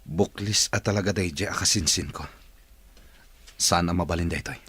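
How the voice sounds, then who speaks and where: A weary, suspicious male boss in a radio play. His voice is very low and rough, with a husky edge. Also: normal speed, sharp tone, no room sound, full character voice. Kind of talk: radio drama acting.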